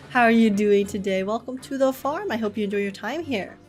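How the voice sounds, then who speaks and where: A young woman with a highish voice hosts a casual game livestream. She is bubbly and chatty, reading and answering comments as she goes. Game music plays lightly behind her. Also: normal speed.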